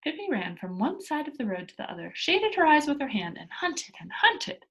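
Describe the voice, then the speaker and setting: A woman reading a children's storybook aloud as an expressive narrator. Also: sits high, normal speed. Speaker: storybook reader.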